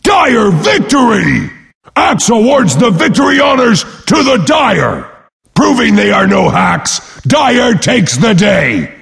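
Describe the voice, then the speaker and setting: Battle-hungry warrior brute, game announcer, shouting, slow emphatic delivery, gravelly, pompous, brash. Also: male voice, high-pitched, very animated, in a large hall, full character voice. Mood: triumphant. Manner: pompous, speaks of himself in third person.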